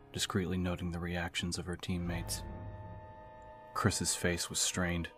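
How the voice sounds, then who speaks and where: A man with a very deep voice narrates an audiobook in a scripted, dramatic style. His intonation stays very flat and even, and music plays behind him.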